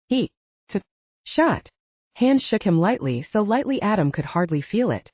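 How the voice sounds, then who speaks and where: A woman narrates an audiobook with highly varied intonation. Her voice sits fairly low and has a mellow tone. The dramatic scripted reading is recorded dry. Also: dry recording, dull audio.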